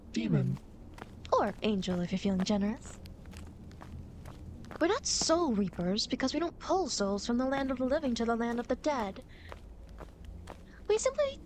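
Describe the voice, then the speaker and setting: Casting: actress as smug, playful female demon guide for ASMR roleplay, high voice with lively swings, teasing and easily affronted. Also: slight background noise. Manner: teasing, smug, mock-indignant.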